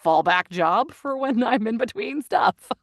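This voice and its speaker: A woman's voice: a little high, animated, a little slow, dry recording, studio quality. A middle-aged woman talking casually as an interview guest, telling stories and acting out the lines she quotes. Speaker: guest, actor and audiobook narrator.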